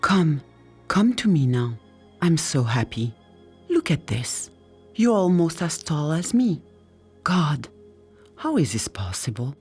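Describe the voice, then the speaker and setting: A woman acts out an affectionate, frail older woman mentor from a storybook. She is tender and doting, exclaiming softly and teasing gently. Her words come slowly over faint music. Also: sits low, very animated, husky, heavily acted. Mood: joyful, wistful. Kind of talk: storybook character voice.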